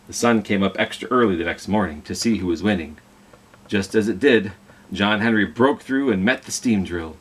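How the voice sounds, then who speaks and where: Husky, animated male storyteller. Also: lowish voice. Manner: dramatic storytelling with questions to young listeners. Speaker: library storytime host reading a picture book. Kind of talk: expressive children's read-aloud.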